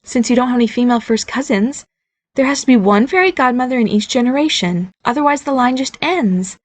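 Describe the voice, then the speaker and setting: A woman's voice: on the high side, a little fast, voice pushed up, lightly acted. A woman voicing a nervous, bubbly fairy godmother mother who keeps reassuring her son. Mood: chirpy nervous.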